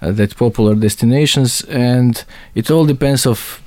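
A man from Croatia with a Croatian accent, answering interview questions conversationally and haltingly, searching for words. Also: mid-range voice, mellow, dry recording. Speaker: interview guest.

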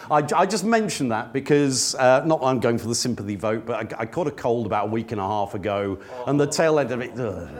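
A middle-aged man with a slightly croaky voice from a cold, giving a chatty, self-deprecating church sermon and reading scripture. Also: medium pitch, lively intonation, fairly quick, few pauses. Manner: self-deprecating, chatty, with frequent fillers and restarts. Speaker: lay preacher.

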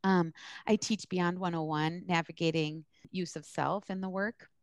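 A woman's voice: fairly low pitch, steady tone, unhurried, smooth, dry recording. A woman from the US East Coast speaking bluntly and candidly in an interview, with emphatic, conversational answers like an instructor explaining her work. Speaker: social work supervision instructor.

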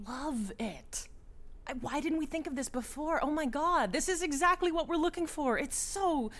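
Gushy high-voiced female TV executive. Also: animated, normal speed, fairly bright tone. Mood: gushing. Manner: effusive, chummy, slangy. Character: gushy, breezy female television network executive. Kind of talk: satirical audio drama acting.

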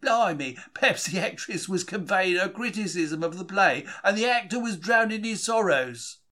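Male reader, slightly high pitch, scripted diary narration, conversational, confiding, colloquial storytelling. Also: lively intonation, normal speed, pushed and bright. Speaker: audiobook-style reader of a diary. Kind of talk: scripted first-person diary reading.

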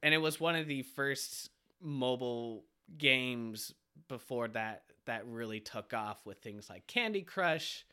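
A man with a bright, forceful voice hosts a relaxed podcast conversation. He sounds a little stuffy from a cold and keeps things moving with questions and easy laughter. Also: medium pitch, takes it slowly, hardly any pauses, clear, resonant tone. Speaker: podcast host. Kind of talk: casual podcast chat.

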